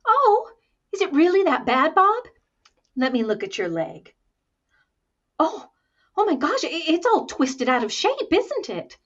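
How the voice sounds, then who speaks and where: A woman's voice: sits high, wildly swooping pitch, unhurried, pauses often, fairly bright tone, heavily acted. A woman playing a sweet, sympathetic female friend with a gushing, cooing manner, in 1940s radio comedy style. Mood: sympathetic, fussing. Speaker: actress in a radio comedy recreation. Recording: dull, lo-fi sound.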